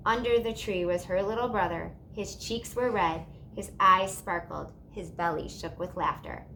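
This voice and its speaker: A woman reading a children's storybook aloud in an expressive narrating style. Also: mid-range voice, moderate pace, gravelly, a little hiss or hum, rough recording. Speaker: storybook reader.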